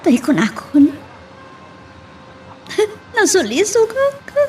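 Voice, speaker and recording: A woman with an Ilocano Filipino accent playing a troubled, remorseful married woman in an audio melodrama, halting and pleading. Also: very high-pitched, very animated, mellow, slight background noise, full character voice. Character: distressed pregnant married woman, remorseful, confessing an affair. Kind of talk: Ilocano radio-style melodrama acting.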